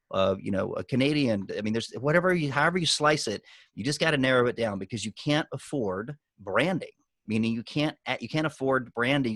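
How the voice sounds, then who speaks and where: A man coaches a group over a video call in a medium-pitched voice. He speaks conversationally, stressing his points and slipping into anecdotes. The sound is close and dry. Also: a bit bright, no echo. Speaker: guest business coach and author. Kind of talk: giving marketing advice on a group video call.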